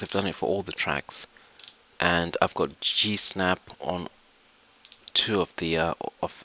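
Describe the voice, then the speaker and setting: A man narrating an informal software tutorial step by step, hesitating and repeating himself as he goes. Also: low voice. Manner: hesitant, repetitive, explains step by step. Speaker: tutorial presenter.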